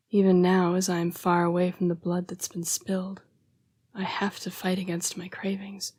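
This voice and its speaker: Woman as a breathy, whispering, apologetic vampire. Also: on the low side, no echo. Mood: gentle, restrained. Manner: formal, halting, hushed delivery. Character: apologetic female vampire struggling with bloodlust, protective yet predatory. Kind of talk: intimate scripted audio roleplay addressed to the listener.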